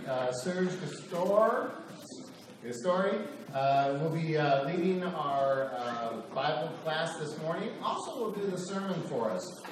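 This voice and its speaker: Hesitant man in an echoey hall. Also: fairly high pitch. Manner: hesitant, full of uh. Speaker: Bible class leader. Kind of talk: introducing a guest. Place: church hall. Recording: echoey hall from far off with room noise.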